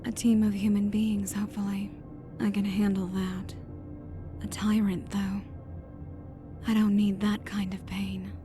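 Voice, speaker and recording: A woman's voice: medium pitch, flat delivery, unhurried, mellow, soft background music, close to an everyday voice. A woman playing a cool, confident, hard-edged female agent, terse and wry, in a dramatized audiobook. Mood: wry, wary. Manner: terse, self-assured inner monologue.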